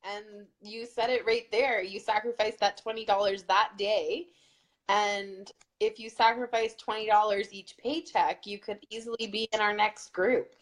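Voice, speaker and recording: A woman of middle pitch chats casually, giving earnest encouragement. Her talk is loose and open, full of "like" as she speaks. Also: fairly even intonation, unhurried, low-quality recording. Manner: earnest, pep-talk tone with filler "like". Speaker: fitness challenge group co-host. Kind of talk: casual motivational chat.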